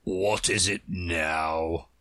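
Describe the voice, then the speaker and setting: A man voicing a very old male frog servant who speaks in a deep, hoarse whisper with a slow drawl. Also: fairly even intonation, bright, forceful tone, no room sound, full character voice. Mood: grumbling.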